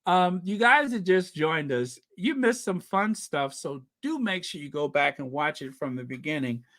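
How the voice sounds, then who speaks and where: A man hosting a casual livestream, chatting with viewers and reading their comments aloud, animated and quick to laugh. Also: a little high, no room sound. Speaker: livestream host.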